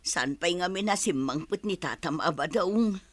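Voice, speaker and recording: A woman's voice: fairly low pitch, lively intonation, normal speed, no echo. A woman playing a poor, humble mother who is behind on her debts. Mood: worried. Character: poor middle-aged mother in debt, humble.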